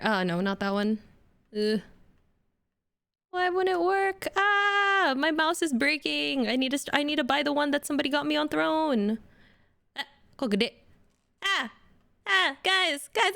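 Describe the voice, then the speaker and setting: A woman's voice: high-pitched, expressive, slow, gravelly, bright, forceful tone. A woman streamer with a light Indonesian accent, chatting casually and jokily with her viewers while feeling unwell with an upset stomach. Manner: chatty, giggly, jokey.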